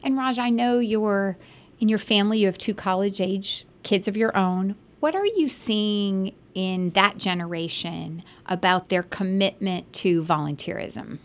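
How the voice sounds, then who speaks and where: A woman hosts a community interview show. She affirms her guest warmly in words and builds long, careful questions, speaking at a middle pitch. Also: unhurried. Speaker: TV interview host.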